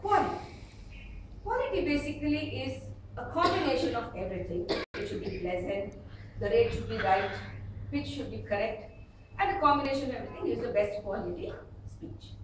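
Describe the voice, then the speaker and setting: A middle-aged woman with an Indian accent giving an instructional speech to an audience, expressive and emphatic, with dramatic pauses. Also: on the high side. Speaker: public speaking trainer at a speakers club. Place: echoey meeting room with an audience. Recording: echoey hall from far off, noisy.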